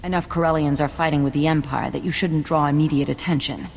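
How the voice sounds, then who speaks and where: A composed intelligence officer for a game. Her voice is low and measured, businesslike throughout. It carries a long reverb. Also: fairly even intonation, gravelly, a little hiss or hum. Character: composed female military intelligence contact, businesslike. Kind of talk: video game cutscene acting.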